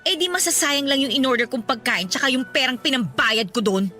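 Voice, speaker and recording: A jealous young woman in a radio drama, with a high, sharp voice. She is fed up and presses her accusations with sarcasm and emphasis, over faint music. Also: a little fast. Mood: accusing, annoyed. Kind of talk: Tagalog radio drama acting.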